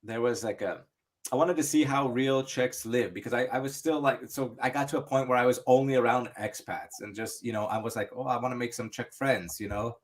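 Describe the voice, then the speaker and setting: A man's voice: medium pitch, fairly quick. A man from the United States chatting casually on a podcast, rambling with many restarts and fillers. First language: English. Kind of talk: casual chat.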